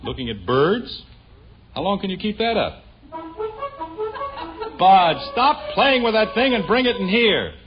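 A man's voice: high voice, sing-song, moderate pace, highs cut off, over music. A man playing a wry, teasing suburban father in a 1950s radio sitcom, sarcastic and mildly exasperated, on an old broadcast recording.

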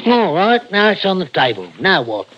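A gruff working-class husband in a radio thriller, dismissive and quick to snap. His voice sits fairly high for a man, and the recording is dull and muffled, like vintage radio. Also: sing-song, very noisy. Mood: skeptical. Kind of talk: old-time radio thriller acting.

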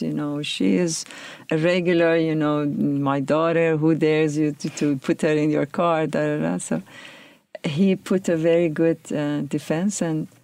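A woman recounts her past in a reflective interview, often pausing to find her words. Her voice is gravelly and stays fairly level in pitch. The recording is dry and close. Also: dark tone, dry recording. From Iran. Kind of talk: recounting personal history.

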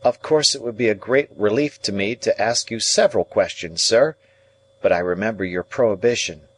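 A man voicing a deferential, uneasy young gentleman in an audiobook, speaking politely and hesitantly. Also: lowish voice, moderate pace, breathy edge, voice pushed up, rough recording. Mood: tentative. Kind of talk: audiobook character dialogue.

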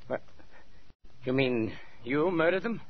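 A shocked questioner facing a killer. The voice is remarkably deep and jumps widely in pitch. The delivery is halting and incredulous, on a dull-sounding 1940s radio broadcast. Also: female voice, lively intonation, full character voice. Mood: stunned. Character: stunned, probing questioner confronting a confessed killer.

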